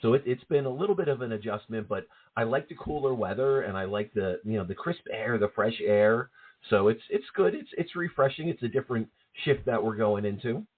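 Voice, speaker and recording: A man with an East Coast American accent, co-hosting a spiritual talk radio show in a calm, reflective way. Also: medium pitch, brisk, breathy edge. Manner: calm, reflective, repeats words when thinking. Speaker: co-host, psychic medium. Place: at home. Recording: tinny phone-line sound.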